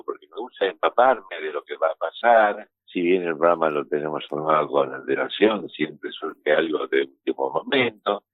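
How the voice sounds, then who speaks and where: A radio host speaks reflectively in interview style. His voice is extremely deep, captured in a dry, narrow telephone-like sound. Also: dry recording. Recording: thin phone-like band, dry.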